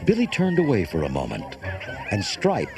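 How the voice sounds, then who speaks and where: A man narrating a children's storybook in a dramatic, expressive way. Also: medium pitch, moderate pace, gravelly. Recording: cassette transfer with loud music behind. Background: loud music.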